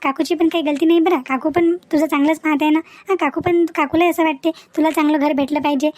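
A woman's voice: high-pitched, steady tone, fast, darkish tone, dry recording, natural voice. A woman playing an outspoken, lecturing young niece in a family cartoon. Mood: consoling. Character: outspoken young niece scolding dowry-seeking elders. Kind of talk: cartoon family drama dialogue.

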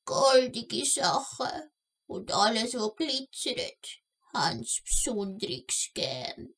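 A woman playing an old kobold grandmother with a Swiss German accent in a children's audio play, telling tales deliberately, grumbly but indulgent. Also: a little high, sing-song, fairly bright tone, husky, full character voice. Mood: storytelling. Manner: slow, deliberate storytelling with scolding asides.

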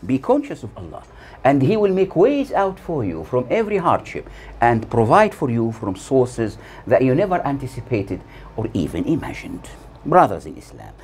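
An older man in his sixties preaches from a prepared text in a solemn manner. His pitch moves widely as he sets out each short phrase deliberately, leaving pauses between them. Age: 60s. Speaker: preacher delivering a Friday sermon. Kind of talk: scripted sermon read from notes. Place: small plain room indoors. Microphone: lapel mic.

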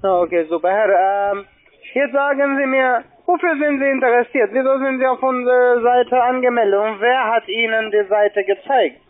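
A man with a very high voice speaks non-native German with a foreign accent over a narrow, tinny phone line. He works through a pushy sales call, putting questions to the customer. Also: moderate pace. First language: not German. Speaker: call-centre agent selling online trading.

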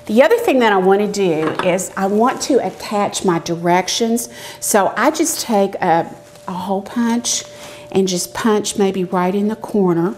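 A woman's voice: mid-range voice, measured pace, darkish tone. Folksy woman, noisy background. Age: middle-aged. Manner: folksy, chatty step-by-step explaining. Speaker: cooking show host, extension educator. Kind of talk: casual craft and cooking demonstration. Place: medium-sized indoor demonstration kitchen. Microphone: lapel mic. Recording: clear background noise with clicks.

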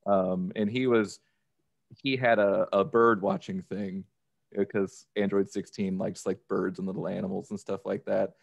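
A man in his thirties chatting casually as a podcast guest, explaining things in a halting way. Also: deep voice, level tone, vocal fry, no echo, highs cut off. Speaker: podcast guest, audio drama actor. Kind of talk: casual chat.

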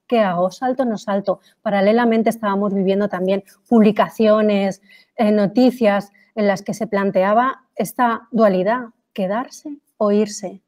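A woman giving an academic lecture, pausing often with fillers and restarts and asking rhetorical questions. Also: mid-range voice, fairly even intonation, a little mellow. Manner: hesitant, many fillers and restarts; reads quotes aloud, asks rhetorical questions.

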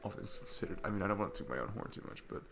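A young man playing an awkward, chatty single dad on a date in an ASMR roleplay, rambling haltingly and self-deprecatingly. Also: deep voice, expressive, creaky. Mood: self-deprecating, playful. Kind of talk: ASMR boyfriend-style roleplay, casual improvised-sounding chat. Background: background music.

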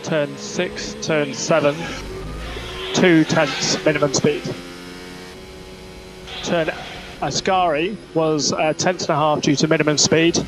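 A man's voice: on the high side, steady tone, slow, pauses often, music in the background. A man working as a race engineer, giving terse, clipped technical instructions over team radio. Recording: noisy motorsport team radio.

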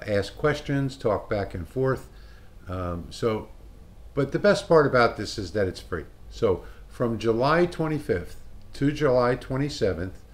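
An older man of about sixty with an American accent talks casually to the camera. His voice sits fairly low. He speaks as the host of a hobby channel, giving an informal announcement from a small workshop. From Louisiana, United States. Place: small indoor wood-panelled workshop.

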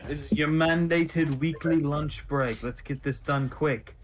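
A man's voice: fairly high pitch, fairly even intonation, unhurried, soft background music. A man teasing his friends while playing a co-op video game. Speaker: gamer in a co-op session. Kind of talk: casual gaming chat.

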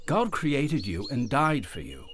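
A man's voice: mid-range voice, very animated, a bit bright, a little hiss or hum. An actor playing a young Englishman, an insistent, encouraging evangelist in a radio drama. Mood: urging. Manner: brisk, urging, insistent. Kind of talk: audio drama acting.

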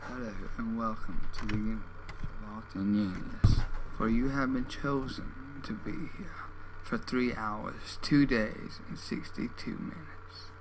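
A man voicing a mysterious fantasy-universe announcer in a theatrical, drawn-out way. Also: medium pitch, lightly acted. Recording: noisy home microphone recording.

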